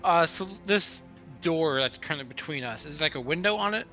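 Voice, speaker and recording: A man's voice: on the high side, animated, fairly quick, sharp tone. A man chatting casually as a player in a tabletop roleplaying game. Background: background music.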